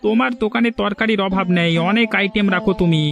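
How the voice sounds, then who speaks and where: A man's voice: high-pitched, brisk, creaky, highs cut off. A man voicing a humble, struggling village eatery owner and devoted father in a cartoon, plaintive and earnest. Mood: aggrieved. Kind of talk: Bengali village cartoon dialogue.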